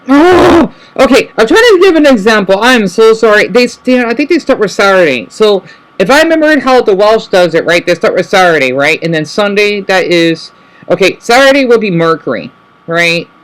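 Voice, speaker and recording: A man with a high voice talks casually to camera and keeps rambling. He corrects himself over and over and tags his points with "right?". He slows right down when he spells out words. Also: big pitch swings, rough recording. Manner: rambling, self-correcting, frequent "right?" tag questions. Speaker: vlogger.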